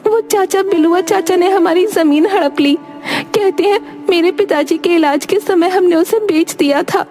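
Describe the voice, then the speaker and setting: A woman playing wronged, poor village women, plaintive and righteous, in an animated moral story. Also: very high-pitched, normal speed, faint music behind. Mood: pleading. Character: poor wronged village widow and her daughter.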